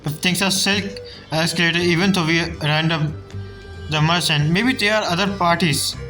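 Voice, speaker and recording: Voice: medium pitch, normal speed, pushed and bright, rough recording. A game streamer casually reacting to the story and reading dialogue choices aloud. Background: game music.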